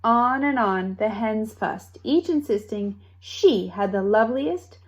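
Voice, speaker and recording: A woman reading a children's story aloud with expressive narration and dramatic, deliberate phrasing. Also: medium pitch. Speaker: storybook reader.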